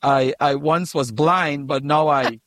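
A man's voice: a little high, slow pace, no echo. A man from Trinidad with a Trinidadian accent, a pastor being interviewed, telling stories with humor. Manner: humorous, animated, laughs at own jokes. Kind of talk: interview guest, casual storytelling.

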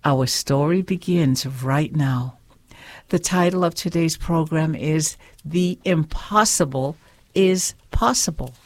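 A woman hosts a faith radio show, talking slowly and earnestly as she preaches and reads scripture. Her voice sits a little low. The sound is dry and close. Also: dry recording.

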